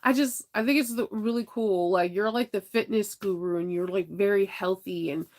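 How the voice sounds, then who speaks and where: A woman's voice: mid-range voice, normal speed. A woman vlogger chatting casually with viewers, laughing often and sounding stuffed up from congestion. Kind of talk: casual chat.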